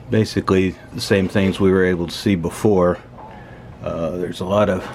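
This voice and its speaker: A man's voice: deep voice, a little mellow, a little hiss or hum. A man casually talking through a hands-on teardown as host, matter-of-fact and tentative as he thinks aloud. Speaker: host of an electronics teardown video. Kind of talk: casual unscripted hands-on explanation.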